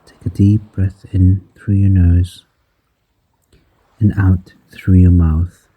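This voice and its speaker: A man guiding a breathing meditation in a slow, soft-spoken manner, counting the breaths aloud and dropping some counts to a whisper. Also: low-pitched, level tone, husky, phone-quality sound. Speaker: meditation guide.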